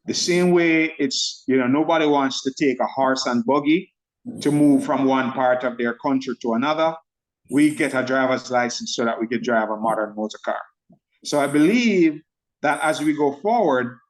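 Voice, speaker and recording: A man with a Jamaican accent blended with American and Nigerian tones, presenting a trading system to a group on a video call, talking fast and excitedly. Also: fairly high pitch, rough recording. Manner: excited, speaks fast and runs over words.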